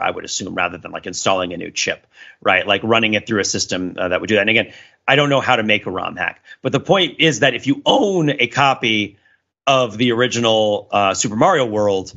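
A man's voice: fairly low pitch, gravelly, bright, forceful tone, muffled recording. A man co-hosting a podcast, explaining things in a casual, discursive way and often checking in as he goes. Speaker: podcast co-host.

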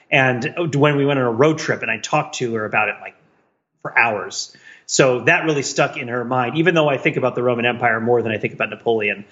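A man's voice: mid-range voice, fairly bright tone, highs cut off. A man co-hosting a podcast, chatting casually in a wry, rambling way. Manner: wry, rambling, prone to chuckling. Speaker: podcast co-host. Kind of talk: casual bantering podcast chat.

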